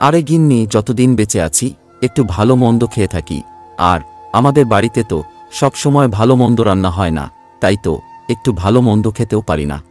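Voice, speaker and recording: A man voices a poor village farmer in a cartoon, with a lowish pitch. He is an earnest, good-natured family man, heard over music. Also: animated, brisk, a little mellow, lightly acted. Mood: doting. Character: hardworking poor vegetable farmer, doting husband and father. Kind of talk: Bengali cartoon voice acting.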